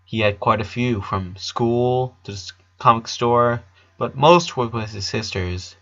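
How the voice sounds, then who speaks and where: A man's voice: medium pitch, a little slow, bright, forceful tone, lo-fi sound. A man reading a scripted story aloud as its narrator.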